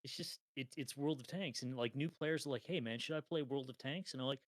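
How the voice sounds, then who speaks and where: A man in his thirties, chatting on a gaming podcast at an even middle pitch. He tells lively stories, laughs often and swears freely. The sound is close and dry, with no room around it. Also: brisk, no echo. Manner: laughs often, animated storytelling, swears casually. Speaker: co-host. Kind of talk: casual podcast chat.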